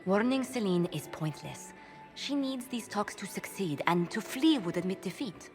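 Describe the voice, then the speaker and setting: A woman's voice: mid-range voice, expressive, moderate pace, lightly acted. A proper, principled ambassador, voiced by a woman, formal and diplomatic, with a voice that rises and falls expressively. Faint music plays behind her. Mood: earnest. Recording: game dialogue over music.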